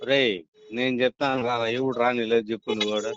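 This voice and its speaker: A man's voice: mid-range voice, fairly even intonation, brisk, background noise. Muffled, curt, abusive man on a call. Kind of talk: heated confrontational phone call. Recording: muffled lo-fi recorded phone call.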